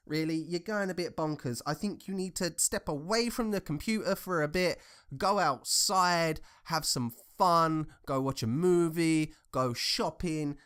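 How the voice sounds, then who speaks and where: A man's voice: on the high side, fairly bright tone. A young man from England with a southern English accent, giving casual ranting commentary in an informal, sarcastic, sweary way. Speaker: commentator reacting to social media posts.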